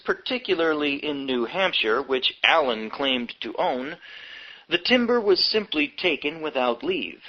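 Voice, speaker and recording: Man reading history, slow and measured, dull audio. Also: mid-range voice. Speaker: audiobook reader. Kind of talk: scripted narration of a history book.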